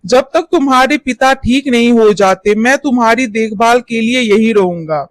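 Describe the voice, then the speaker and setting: A woman voicing a kindly, reassuring adult village man in a storybook tale, speaking gently. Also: a little high, normal speed, dry recording, lightly acted.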